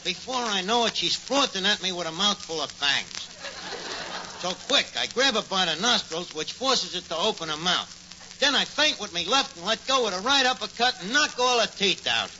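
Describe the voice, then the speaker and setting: New york tavern blowhard, high excitable voice all over the place, bragging, noisy old radio comedy. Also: male voice, highs cut off. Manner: blustering, malapropism-prone, mangled grammar. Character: boastful bumbling tavern manager, a tall-tale-spinning phony. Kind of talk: 1940s radio comedy acting.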